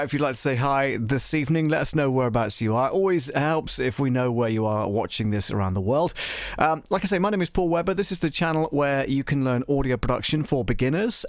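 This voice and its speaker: Creaky-voiced man hosting a tutorial stream. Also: mid-range voice, no room sound. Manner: chatty, informal, thinking aloud. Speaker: live-stream host and audio production tutor. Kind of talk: casual live tutorial chat.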